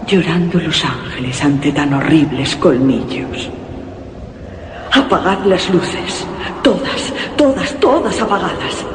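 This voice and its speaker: An actor playing a solemn reciter of macabre verse in a radio horror drama, grave and declamatory. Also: male voice, on the high side, animated. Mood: grim, ominous. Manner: grave, declamatory, measured phrasing. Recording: noisy radio recording with long reverb.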